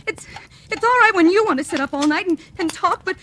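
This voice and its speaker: A spoiled, domineering wife complains in a theatrical, petulant tone. Her voice is high and swoops widely. A faint hiss from the old radio recording sits under it. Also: expressive, brisk, fairly bright tone. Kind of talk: 1940s radio drama acting.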